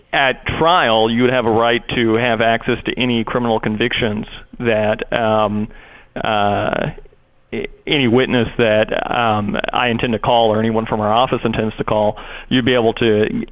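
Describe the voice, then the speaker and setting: A man in the role of a prosecutor explains legal procedure over the phone. His delivery is flat and even, wordy and halting, at a middling pitch. The line is narrow and muffled. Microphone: telephone call.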